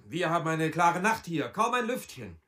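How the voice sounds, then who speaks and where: An actor in a radio play playing a loyal, concerned husband and friend, patient, soothing and conversational. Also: male voice, a little high, sing-song, moderate pace, bright, forceful tone. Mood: puzzled, concerned. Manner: reassuring, conversational. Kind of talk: 1980s German radio play acting.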